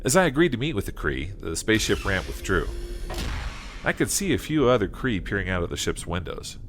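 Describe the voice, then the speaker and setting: Deep-voiced, earnest middle-aged farmer. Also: male voice, sing-song, a little fast, a bit bright, a little hiss or hum. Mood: earnest, troubled. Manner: folksy, hesitant, earnest. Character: plain-spoken male Iowa farmer, 51, troubled and earnest. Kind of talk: first-person audio drama narration. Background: music.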